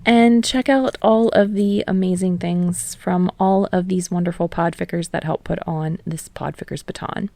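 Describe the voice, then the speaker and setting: A woman's voice: on the low side, steady tone, normal speed, creaky, hardly any pauses, darkish tone, no echo. A woman reading scripted fiction as a podfic narrator, close to the microphone. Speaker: podfic narrator and channel host.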